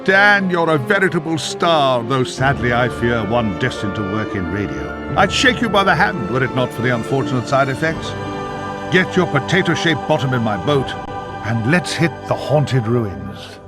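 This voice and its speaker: Droll boatman played by a man under loud music. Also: medium pitch, big pitch swings, normal speed, lightly acted. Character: droll, grave boatman guiding a hero through ruins. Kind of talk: video game cutscene acting.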